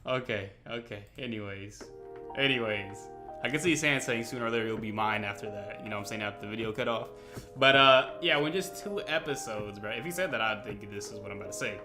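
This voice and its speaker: A man's voice: fairly low pitch, quick pace. Slangy man reacting, music behind him. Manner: slangy, exclamatory. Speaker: reaction video host. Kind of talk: casual reaction commentary.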